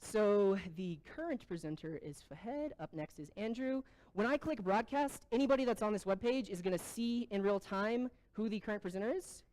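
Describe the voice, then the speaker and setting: A woman's voice: mid-range voice, moderate pace, hardly any pauses, a little mellow, rough recording. A woman hosting a tech showcase, casually walking the audience through a website and introducing speakers. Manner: casual, joking, plain. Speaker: event host.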